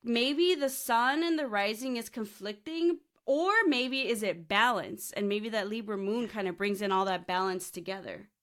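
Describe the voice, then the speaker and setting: A woman's voice: mid-range voice, moderate pace, hardly any pauses, fairly bright tone. A woman hosting a podcast, bubbly and enthusiastic, laughing often and mixing in Spanish slang words. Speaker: podcast host. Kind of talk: casual interview with astrology talk.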